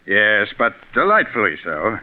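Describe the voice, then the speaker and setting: An eager, fawning businessman, very animated. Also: fairly low pitch, a little slow, a little hiss or hum. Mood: jovial, fawning. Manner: effusive, chuckling, slightly hesitant. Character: eager, fawning, jovial businessman and programme sponsor. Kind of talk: 1940s radio mystery acting. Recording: tinny vintage radio recording.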